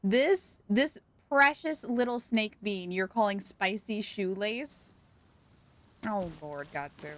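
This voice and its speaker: A woman's voice: mid-range voice, lively intonation, slow pace, a bit bright. A woman hosting a game livestream, chatting casually and reacting playfully and expressively to what happens.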